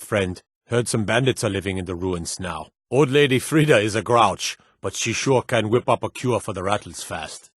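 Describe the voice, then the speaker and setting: An actor playing a stern soldier of the town guard in a fantasy video game, with a clipped, martial delivery. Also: male voice, lowish voice, dry recording, studio quality, natural voice. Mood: calm, matter-of-fact. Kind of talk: video game character voice lines.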